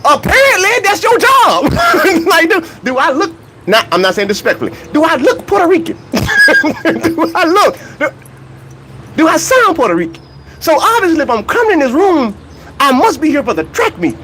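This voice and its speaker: A man's voice: very high-pitched, huge pitch swings, moderate pace, sharp tone, slight background noise. A young man rants in a casual, comedic way about track and field. He laughs between points and keeps asking rhetorical questions.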